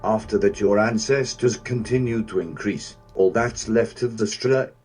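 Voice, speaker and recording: A man playing a cold, bitter, grandiose warrior villain in a fan-dubbed video game, speaking in a measured, contemptuous way. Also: on the low side, a little mellow, soft background music, close to an everyday voice. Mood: cold contempt.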